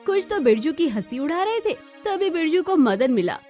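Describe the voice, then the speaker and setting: High, lively woman storyteller. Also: moderate pace, soft background music. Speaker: story narrator. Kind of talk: scripted moral-story narration for a cartoon.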